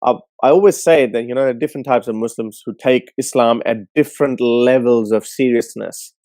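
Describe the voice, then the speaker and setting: A man's voice: mid-range voice, fairly quick, mellow, no echo. Blunt, scornful male show host. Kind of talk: casual opinionated commentary.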